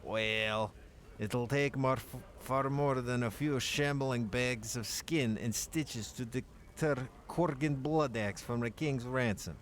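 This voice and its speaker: A man voicing a gruff, bloodthirsty male dwarf warrior in a put-on Scottish accent, telling tales in an archaic brogue for a game. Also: medium pitch, slight background noise, natural voice. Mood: boastful. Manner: slow, boastful storytelling. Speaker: player reading the dialogue.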